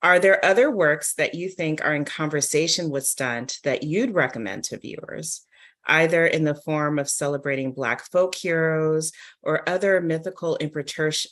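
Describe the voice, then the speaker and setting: Woman, low register, conversational panel speaker who thinks out loud with lively asides. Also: moderate pace. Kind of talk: conversational literary panel talk.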